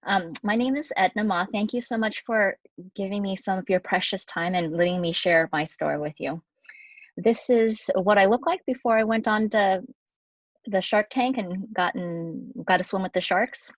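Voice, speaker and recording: A woman in her forties giving a conversational online talk as a guest physician, lively and chatty with fillers. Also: medium pitch, moderate pace, no room sound. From United States. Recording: dry, dull lo-fi audio.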